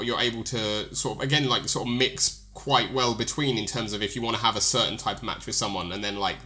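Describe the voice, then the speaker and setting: Bright-voiced British male interviewer. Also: on the low side. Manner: rambling, filler-heavy, friendly, laughs easily. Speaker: podcast interviewer. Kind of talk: casual interview chat.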